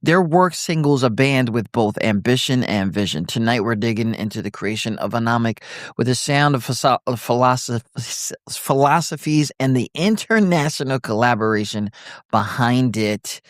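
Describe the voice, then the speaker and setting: A man's voice: mid-range voice, animated, normal speed, dry recording, studio quality. A man hosting a radio interview show, loose and joking, often stumbling over his words.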